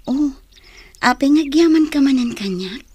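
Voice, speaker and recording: A woman playing a sweet, modest young tribeswoman in a radio drama, with soft, breathy delivery. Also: sits high, expressive, measured pace. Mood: soft, coy.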